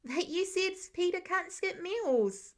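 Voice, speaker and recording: A woman voicing an excitable, eager young boy with chirpy, childlike rambling in a story reading. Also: very high voice, takes it slowly, pitched above the performer's own voice, heavily acted. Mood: earnest. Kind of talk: storybook-style character reading.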